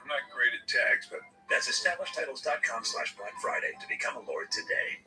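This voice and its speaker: A man hosting a podcast, reading sponsor copy in a playful, animated way. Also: mid-range voice, a little fast, far from the mic, music in the background. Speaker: podcast host. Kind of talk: sponsor read, partly scripted, with banter.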